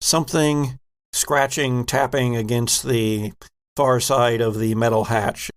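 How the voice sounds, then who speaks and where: A man narrating a tabletop horror game as game master, slow and measured. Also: medium pitch, steady tone, smooth, dry recording.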